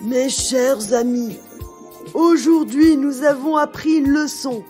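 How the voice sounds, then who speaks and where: Woman voicing a brave, earnest storybook wolf, high-pitched. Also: dark tone, faint music behind. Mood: solemn, rousing. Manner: earnest, declaiming slowly to friends. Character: brave, kind, clever male wolf hero. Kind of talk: children's storybook character voice.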